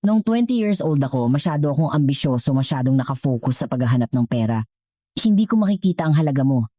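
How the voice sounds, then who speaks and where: A woman narrator plays a middle-aged husband and businessman in a storybook tale. He is devoted, sincere and reflective, speaking in a very low, dark-toned voice, recorded dry and close. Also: animated, fast talker, no room sound. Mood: candid, tender. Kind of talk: scripted storybook dialogue.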